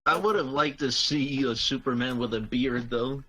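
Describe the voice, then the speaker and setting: A man with a medium-pitched voice. He speaks casually, as a panelist in an informal group discussion. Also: moderate pace, bright, forceful tone. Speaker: podcast panelist.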